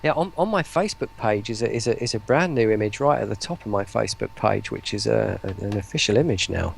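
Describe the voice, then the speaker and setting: A man's voice: on the low side, quick pace, gravelly, slight background noise. A man who is a guest on a live stream, chatting casually and rambling as he repeats his phrases. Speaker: interview guest, voice pack producer.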